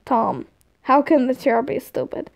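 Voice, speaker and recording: A woman's voice: sits high, measured pace, gravelly. A woman voicing an exasperated, teasing man looking after a boy in a story, scolding and bargaining wearily. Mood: stern. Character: exasperated, teasing, busy male guardian of a boy.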